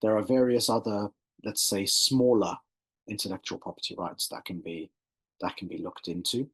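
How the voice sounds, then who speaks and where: An English man with a very low, husky voice, speaking as a barrister on an academic panel. He talks in a measured, lawyerly way and often repeats words as he builds his points. The sound comes through a video call. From England. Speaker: barrister and institute director, panelist.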